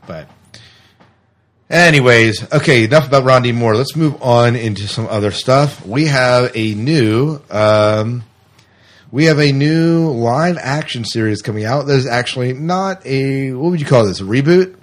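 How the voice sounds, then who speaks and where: A man's voice: mid-range voice, measured pace. A man co-hosting a podcast, chatting casually about sci-fi news. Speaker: podcast co-host.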